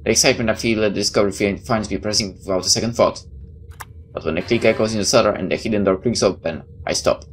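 Gameplay host, low register, expressive read-aloud with casual asides, faint noise behind. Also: quick pace. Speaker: gameplay host reading a visual novel aloud. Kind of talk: expressive reading of game text with casual commentary. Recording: clear background noise.

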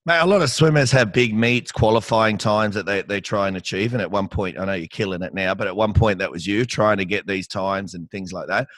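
A man's voice: fairly low pitch, a little fast, few pauses, fairly bright tone, no echo. A man with an Australian accent hosting a swim podcast over a headset, chatty and matey. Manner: matey, chatty, calls guest mate. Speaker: podcast host and swim coach. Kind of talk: casual interview. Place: small room with hung jerseys.

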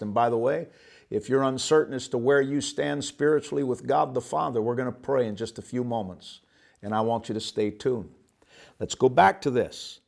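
Preacher in his 50s, seated studio Bible teacher on a lapel mic, emphatic and gesturing, slightly high-pitched man. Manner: emphatic, gestures broadly, drawn-out stressed phrases. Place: indoor studio set with guitar on wall. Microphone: lapel mic, seated at desk facing camera.

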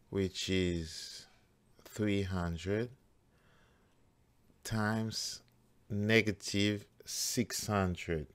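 A man working through a math problem step by step as a teacher, deliberate and halting phrase by phrase. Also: fairly low pitch, pushed and bright, clear, resonant tone. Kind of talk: step-by-step worked-problem tutorial.

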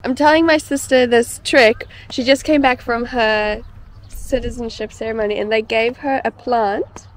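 A woman's voice: a little high, steady tone, moderate pace. A woman, chatting casually as she vlogs. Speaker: vlogger. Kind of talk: casual chatty vlog talk. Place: living room, car park and outdoor cafe. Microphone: camera at arm's length. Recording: handheld camera with background noise.

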